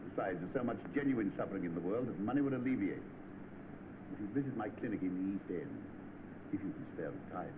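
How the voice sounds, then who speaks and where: A stern English doctor, played by a man delivers formal, cutting speech with big swings of pitch. It is vintage film acting, heard through a thin soundtrack with clear noise. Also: phone-quality sound, close to an everyday voice. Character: stern, scornful eminent doctor in an old film.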